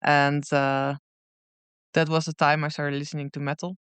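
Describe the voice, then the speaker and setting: A woman in her thirties with a Dutch accent answers interview questions, her voice very clear and smooth. She speaks in a thoughtful, unhurried way, pausing often. The sound is dry and close. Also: low voice, flat delivery, a bit bright, no echo. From Netherlands. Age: 30s. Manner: thoughtful, unhurried, many pauses. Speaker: guest musician.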